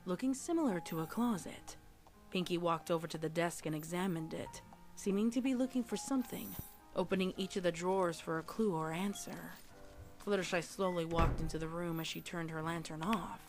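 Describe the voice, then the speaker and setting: A woman's voice: on the low side, a little mellow. A woman reading scripted story narration in a dramatic style. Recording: music behind the voice.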